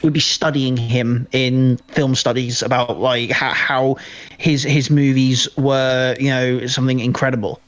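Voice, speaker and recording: A man's voice: medium pitch, level tone, unhurried, low-quality recording. A man co-hosting a film podcast in casual chat, rambling and often restarting his words. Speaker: podcast co-host discussing films.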